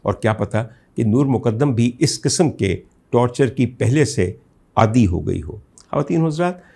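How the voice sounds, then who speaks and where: A man with a Pakistani accent giving an indignant, emphatic opinion monologue as a talk show host, full of rhetorical emphasis, in a husky, gravelly voice. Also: medium pitch, animated, moderate pace, a little mellow. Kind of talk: opinion monologue recounting a case to viewers.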